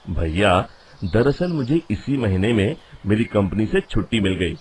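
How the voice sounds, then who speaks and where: A man's voice: lowish voice, big pitch swings, unhurried, a little hiss or hum. A man voicing a shrewd, educated young village man in a cartoon moral story. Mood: polite. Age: young adult.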